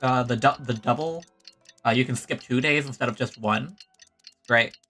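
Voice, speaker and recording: A woman's voice: rumbling low, normal speed, a bit bright, faint music behind. A woman streaming a game, giving casual, reactive commentary as the story unfolds.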